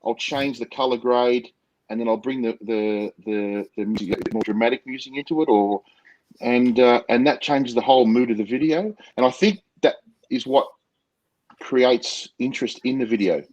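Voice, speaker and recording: Aussie bloke, big loud singer's voice, chatty and keen, talks off the cuff. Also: male voice, medium pitch, moderate pace, lo-fi sound. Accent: Australian. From Australia. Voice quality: loud, projecting voice. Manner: enthusiastic, spontaneous. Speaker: guest, motovlogger. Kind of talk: casual interview chat.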